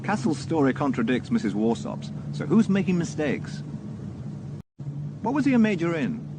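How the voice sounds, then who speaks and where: An actor playing a shrewd, educated police inspector, dry, questioning and ironic, in a radio drama. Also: male voice, on the high side, big pitch swings, normal speed, background noise. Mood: curious, dry.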